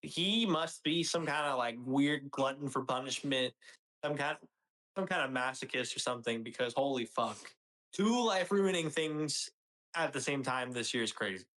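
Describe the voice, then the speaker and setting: A man's voice: mid-range voice, normal speed, fairly bright tone, poor audio. A young man co-hosting a casual motorsport podcast, talking bluntly, swearing freely and calling his co-host dude and bro.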